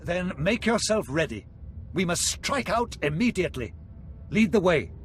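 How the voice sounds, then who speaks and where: A man's voice: high voice, big pitch swings, normal speed, faint music behind. An actor playing a cunning, aristocratic Sith lord in a video game, courtly and theatrical, relishing his words. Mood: scheming, urgent. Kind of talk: video game character acting.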